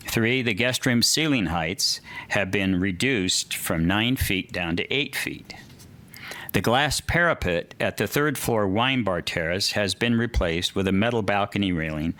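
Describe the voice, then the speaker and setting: Man, lowish voice, bright tone, public meeting presentation, listing points. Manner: reads a prepared statement, listing points with some fillers. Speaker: hotel developer presenting to a city commission. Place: city meeting room.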